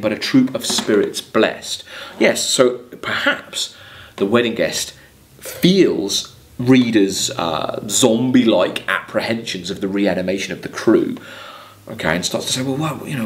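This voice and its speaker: A man in his thirties with a mid-range voice speaks as a college lecturer. He reads verse and explains it, often repeating words as he feels out a phrase and leaning on key terms. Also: medium pitch. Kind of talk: lecture on poetry, reading verse aloud and commenting. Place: indoors, seated at a desk in a small room.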